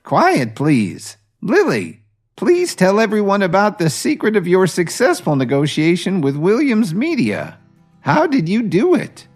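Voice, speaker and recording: A man plays an elderly woman who runs her family, high-pitched and insistent. She speaks formally, as in an audio drama, anxious to please yet commanding, over background music. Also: huge pitch swings, unhurried, a little mellow, breathy edge, heavily acted. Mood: commanding, pleased.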